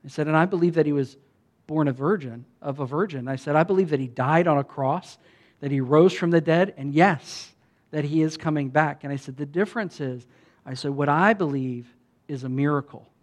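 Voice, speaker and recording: A fairly high-pitched man from the United States preaches in a relaxed, conversational way. As a pastor, he tells a story and acts out the quoted dialogue of the people in it. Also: fairly quick. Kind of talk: sermon storytelling.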